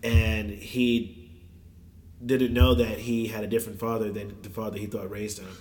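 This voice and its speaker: A man's voice: on the low side, flat delivery, normal speed, heavy background noise. A man in his forties from the US, a little nasal and stuffed up with a slight cold, chatting and joking as a guest. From United States. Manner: chatty, joking, laughs often. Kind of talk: casual chat.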